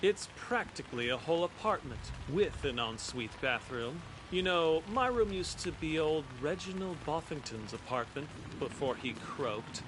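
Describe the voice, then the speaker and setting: A man playing a chatty, self-satisfied resident of a grand manor in a video game. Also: a little high. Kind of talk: game dialogue acting. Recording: clear background noise.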